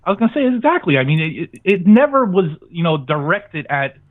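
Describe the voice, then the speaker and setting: A man's voice: on the high side, big pitch swings, fairly quick. A man speaking as an interview guest in casual, talkative, informal conversation. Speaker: podcast network owner, guest. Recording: tinny phone-like line.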